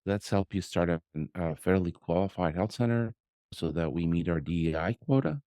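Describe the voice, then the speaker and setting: Deep-voiced man hosting, dry recording. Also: animated, fairly quick, warm tone, no room sound. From born in Colombia, raised elsewhere. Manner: pointed, sardonic. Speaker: podcast host, pediatrician. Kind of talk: casual interview conversation.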